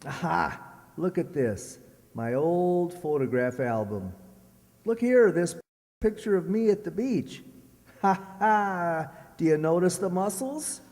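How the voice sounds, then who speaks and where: An actor playing a vain, jealous, hesitant husband in an old-style radio comedy. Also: male voice, high voice, expressive, slow, darkish tone, heavily acted. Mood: boastful. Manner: boastful, hesitant, stammering. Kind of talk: old radio sitcom acting. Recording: long hall reverb.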